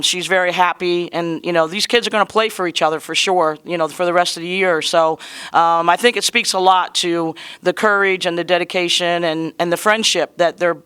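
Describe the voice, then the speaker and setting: Woman coach, postgame presser, earnest and conversational, bright smooth voice with a touch of cold-hoarseness. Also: fairly low pitch. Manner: earnest, conversational, proud of her players. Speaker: basketball head coach.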